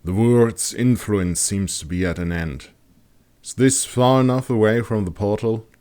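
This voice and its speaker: A man's voice: on the low side, a little slow, a little mellow, smooth, voice pushed up, close to an everyday voice. A man voicing a practical, cautious male bowman in a fantasy audiobook, speaking matter-of-factly. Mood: questioning. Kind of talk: audiobook character dialogue.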